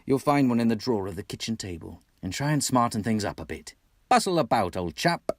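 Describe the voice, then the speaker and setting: A man voicing a cheery, brisk male rat in a storybook, hearty and encouraging, with a put-on British accent. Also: medium pitch, big pitch swings, dry recording, studio quality. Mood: cheery, bustling. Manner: hearty, exclaiming, bossily encouraging.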